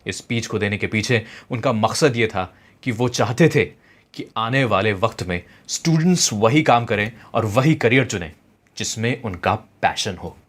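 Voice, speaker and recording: A man reading a scripted motivational story in a measured, storytelling way. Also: fairly low pitch, animated. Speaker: narrator. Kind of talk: scripted motivational narration of a translated speech. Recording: echoey, long reverb, music behind. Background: background music.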